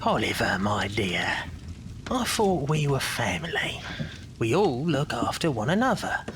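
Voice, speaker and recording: A man playing an oily, falsely affectionate leader of child thieves in an audio drama, wheedling and breathy, often half-whispering. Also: mid-range voice, wildly swooping pitch, unhurried, noisy background. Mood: oily, coaxing.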